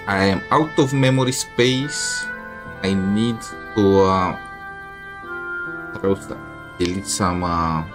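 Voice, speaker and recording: A man giving casual, halting live gameplay commentary, reacting in short bursts as a streamer. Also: sits low. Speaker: game livestreamer. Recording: game music behind the voice. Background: video game music.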